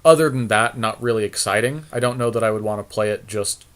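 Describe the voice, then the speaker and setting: A man's voice: fairly low pitch, quick pace. A man co-hosting a podcast, giving casual card game draft commentary and thinking aloud in an easygoing, tentative way. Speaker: podcast co-host.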